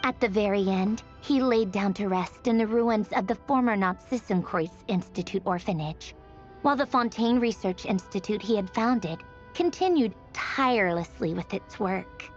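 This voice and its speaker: Game role: aloof female storyteller played by an actress, wry and musing, very expressive pitch, slightly muffled audio over music. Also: moderate pace, natural voice. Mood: somber, reflective. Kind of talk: scripted dramatic video game narration. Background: background music.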